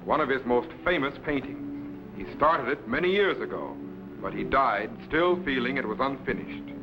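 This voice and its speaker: A man's voice: mid-range voice, expressive, normal speed, natural voice. Man playing authoritative sci-fi captain, dull vintage sound. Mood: instructive. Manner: crisp, authoritative, explanatory. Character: commanding, scholarly male time-machine captain. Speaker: captain and mentor. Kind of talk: 1950s science fiction serial acting. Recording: dull vintage broadcast with loud music.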